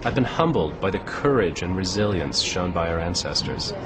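A middle-aged American man presenting a documentary in an earnest, measured way, speaking to camera in a studio. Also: low voice, very animated. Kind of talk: scripted documentary narration. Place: photo studio, indoors. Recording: music bed underneath.

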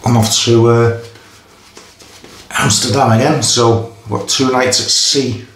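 A man's voice: medium pitch, steady tone. Geordie man, fifties, casual stop-start chat, echoey tiled bathroom, faint hiss. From Newcastle area, England. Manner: chatty, stop-start, pauses between phrases. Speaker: shaving vlogger. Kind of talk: casual chat while shaving. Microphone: camera in front of face.

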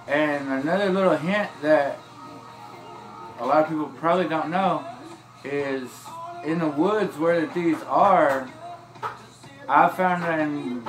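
Man, easygoing rambling gameplay guide, slightly high voice, filmed by phone with game music behind. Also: poor audio. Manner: relaxed, rambling, drawled, says y'all. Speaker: gameplay tips narrator. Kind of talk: casual gameplay walkthrough. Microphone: phone filming a TV screen.

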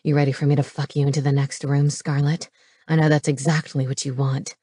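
Woman voicing a gruff, low, domineering male wolf shifter. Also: flat delivery, brisk, dark tone, no echo, clean studio sound. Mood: lustful, growling. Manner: growling, commanding, crude. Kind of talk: paranormal romance audiobook character.

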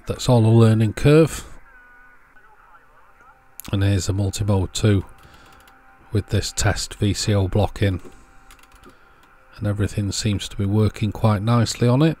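A man with a fairly low voice hosts a hands-on electronics demonstration. He explains each step in a measured, methodical way, as a voice-over to the work on the bench. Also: fairly even intonation, dark tone. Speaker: host of an electronics repair channel.

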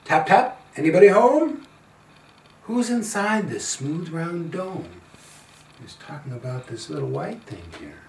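An older man, around seventy, reading a children's picture book aloud in an expressive, singsong and playful way. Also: a little high, slight background noise. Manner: slow, singsong, playful calls with quieter asides. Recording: roomy, a little far from the mic.

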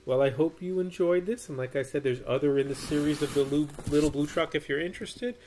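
A man in his forties reading a children's storybook aloud in a measured, sing-song way. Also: on the high side, darkish tone, a little hiss or hum. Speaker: storybook reader. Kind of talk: expressive children's read-aloud. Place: small indoor room.

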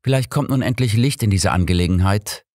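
A man's voice: lowish voice, expressive, fairly quick, a little mellow, no room sound, studio quality, pitched above the performer's own voice. A man voicing a polite, earnest young suspect who is puzzled but cooperative, in an audiobook.

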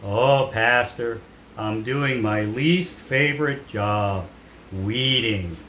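A man as a slow, hapless puppet fellow. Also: mid-range voice, a bit bright, voice pushed down. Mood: distracted, glum. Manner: drawn-out, sighing, hapless delivery. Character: slow, distracted, apologetic male puppet named Dennis. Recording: roomy echo with some background noise.

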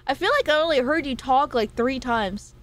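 A fairly high, slightly nasal young woman from California chats playfully with her stream audience. She swears freely and jokes around. She talks into a desk microphone. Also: moderate pace. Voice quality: self-described nasal. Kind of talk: casual chat with viewers.

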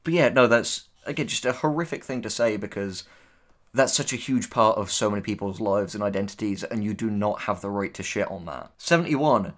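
A man's voice: lowish voice, fairly quick. A man co-hosting a casual reaction commentary, reading posts aloud and answering them with sarcastic, sweary remarks. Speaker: co-host, writer.

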